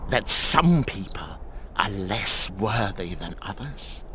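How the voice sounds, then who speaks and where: An actor playing a simple, nervous monk who loves birds in a video game, excitable and halting, with wheezy giggles. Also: male voice, on the low side, a little hiss or hum. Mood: flustered, evasive.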